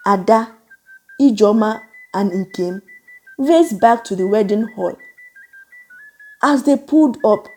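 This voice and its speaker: A woman narrating a scripted folktale in a measured, suspenseful, dramatic way. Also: on the high side, dark tone. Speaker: storyteller, narrator.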